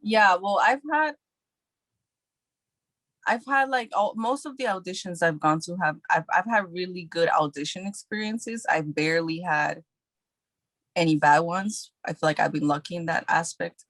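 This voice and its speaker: A young woman talks in a loose, chatty way, her sentences full of filler likes. Her voice sits low for a woman, and the recording is dry and close with no room sound. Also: normal speed, a bit bright, no room sound. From New York City. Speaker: guest actress. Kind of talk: casual podcast chat.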